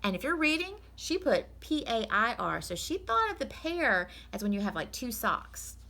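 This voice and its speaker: Casting: woman teacher for children's read-aloud, encouraging and explanatory, bright lively voice with some creak. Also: on the high side, normal speed. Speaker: teacher reading a storybook to children.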